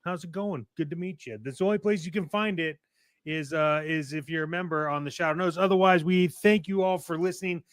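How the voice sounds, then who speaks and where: Male host, high register, chatty showman, dry recording, casual podcast delivery. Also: steady tone, brisk, dry recording. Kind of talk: casual podcast chat with sponsor reads.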